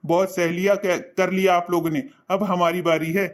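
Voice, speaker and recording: A man's voice: high-pitched, flat delivery, moderate pace, natural voice. An actor voicing an earnest young son in a cartoon, reassuring his parents in a heartfelt way.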